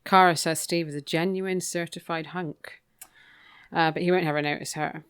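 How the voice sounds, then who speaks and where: A woman's voice: low-pitched, fairly even intonation, moderate pace, clean tone, no room sound, clean studio sound. A woman co-hosting a podcast, chatting casually through a recap with wry, opinionated asides. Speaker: podcast co-host. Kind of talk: casual book recap chat.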